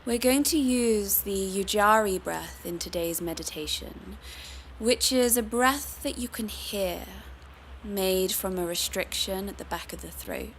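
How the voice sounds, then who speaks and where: A woman teaching yoga meditation, guiding the listener in a calm, soothing, unhurried manner. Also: medium pitch, slight background noise. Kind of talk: guided meditation.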